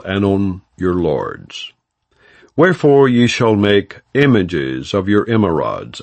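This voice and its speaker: A man with a low voice reads scripture as an audiobook narrator. His delivery is formal and measured, yet the pitch moves widely from phrase to phrase. The sound is dry and close, with no room reverberation. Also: vocal fry. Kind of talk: scripted non-dramatized scripture reading.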